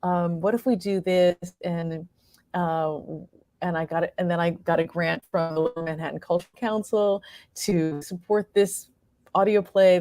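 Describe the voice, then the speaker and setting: Female interview guest, slightly low voice, chatty and appreciative delivery, no room sound. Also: darkish tone, no echo. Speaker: playwright and performer, interview guest. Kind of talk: casual conversational interview answers.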